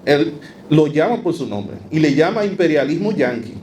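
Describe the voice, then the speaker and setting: Man giving a measured lecture. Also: on the high side, sing-song, darkish tone, slight background noise. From Dominican Republic. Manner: measured, with frequent fillers and rhetorical asides. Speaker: academic speaker. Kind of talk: university lecture. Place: university lecture hall.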